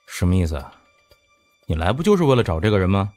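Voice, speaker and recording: A man's voice: low voice, sing-song, fast talker, darkish tone, faint music behind. A man voicing an arrogant, impatient young heir in an audiobook, haughty and coarse.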